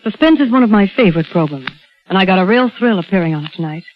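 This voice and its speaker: A woman, the evening's guest star, giving a quick, breezy sign-off on an old radio broadcast. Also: medium pitch, expressive, lo-fi sound, slight background noise. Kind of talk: brief on-air sign-off.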